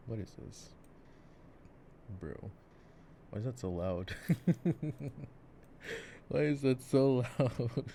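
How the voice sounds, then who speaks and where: A man voices a laid-back gamer boyfriend in an ASMR roleplay. His voice sits low with a crackly fry, and he rambles playfully, mocking himself with mild drama. Mouse clicks and clear noise sit behind him. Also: warm tone. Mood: amused, protesting. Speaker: ASMR voice actor. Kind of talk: improvised-sounding ASMR boyfriend roleplay while gaming. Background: mouse clicks and game ambience.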